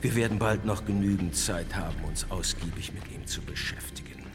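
Man playing a low-voiced, haughty, menacing bishop. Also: fairly quick, natural voice. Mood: coldly menacing. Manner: condescending, mock-courteous. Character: male bishop, haughty, coldly menacing church authority. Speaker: actor. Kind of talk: radio play acting. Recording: music behind the voice.

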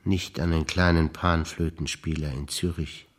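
An older man, a stage actor reciting memories in a rhythmic, deliberate listing manner with pauses. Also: extremely low pitch, steady tone.

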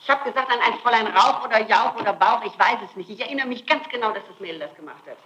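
Middle-aged woman being interrogated, sharp forceful voice, keeps denying stuff, defensive, noisy old 60s tape. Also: fairly high pitch, fast talker, lo-fi sound. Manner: insistent, defensive, repetitive denials. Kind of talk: police interrogation answers.

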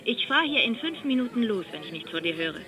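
An actress playing a persistent wife in a radio drama, talking in a chatty, coaxing way. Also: female voice, on the high side, sing-song, fast talker, pushed and bright, poor audio. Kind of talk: naturalistic radio drama dialogue.

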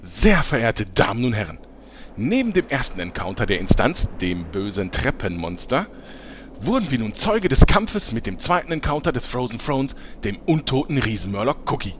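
A man's voice: medium pitch, very animated, moderate pace, slight background noise, natural voice. A man playing an eager, self-promoting, servile reporter in parody audio drama, with formal, obsequious commentary. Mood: officious.

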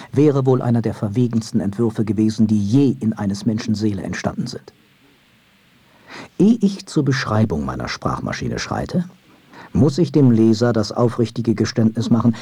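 A man playing an earnest inventor of a past century, reading his memoir in formal, ornate, expressive language for radio. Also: fairly low pitch, breathy edge, no echo, exaggerated voice. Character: earnest old-time male inventor recounting his work. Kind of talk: dramatic reading of historical memoir. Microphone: close studio microphone.

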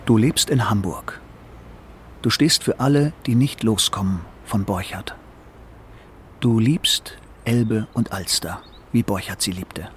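A man narrates a radio feature in a low voice, very slowly and deliberately. Faint music sits behind his scripted reading. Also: a little hiss or hum. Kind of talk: slow scripted narration in second person.

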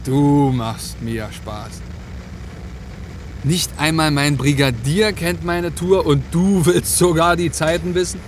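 Bantering truck driver over engine noise. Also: male voice, steady tone, slow pace. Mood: practical, bantering. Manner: casual, teasing, practical. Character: easygoing, bantering male gravel-truck driver. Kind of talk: naturalistic radio drama acting. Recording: noisy, with truck engine rumble. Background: vehicle noise.

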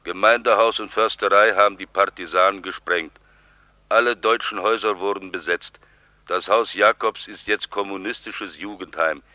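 Radio drama casting: grave letter-writing neighbour, very bright tense low voice, dry sound. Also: male voice, moderate pace, no room sound. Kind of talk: radio play letter reading.

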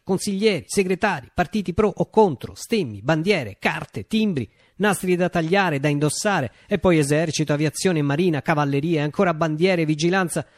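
Actor as theatrical, swooping revolutionary narrator. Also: male voice, fairly high pitch, dry recording, clean studio sound, natural voice. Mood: wry, emphatic. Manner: deliberate phrasing with dramatic pauses, emphatic lists. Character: reflective male revolutionary recalling a utopian Italian uprising. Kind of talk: theatrical radio monologue, dramatic storytelling.

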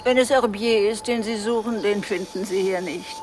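A woman's voice: medium pitch, fairly even intonation, normal speed, darkish tone, slight background noise, natural voice. An actress playing an older, blunt and folksy village woman, self-assured and chatty, in a radio drama. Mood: matter-of-fact. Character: plucky old village woman, former guesthouse keeper, blunt and chatty.

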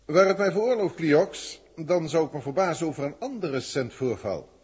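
Pedantic alien professor, Netherlands Dutch, highish and very husky, 1960s radio drama style. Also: male voice, expressive, moderate pace, darkish tone. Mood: formal, reporting. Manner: formal, pedantic, theatrical delivery. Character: haughty, learned alien senior scientist filing reports.

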